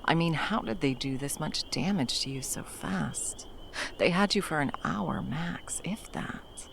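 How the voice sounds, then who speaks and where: A woman playing a gravelly, centuries-old monster hunter. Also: low-pitched, expressive, a little slow, a little hiss or hum, full character voice. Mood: angry, protective. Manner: blunt, swears heavily, softens into tender murmurs. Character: centuries-old female monster hunter, fierce, guilt-ridden. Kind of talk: ASMR roleplay.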